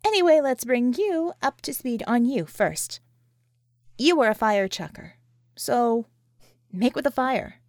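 A woman playing a sardonic, sharp-tongued, weary woman with a wry, emphatic manner in an audiobook. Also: a little high, lively intonation, unhurried, no echo, clean studio sound. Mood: earnest, explaining.

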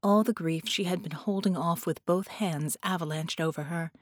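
A woman reading fiction as an audiobook narrator, with dramatic, deliberate and expressive phrasing. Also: lowish voice, no echo, clean studio sound.

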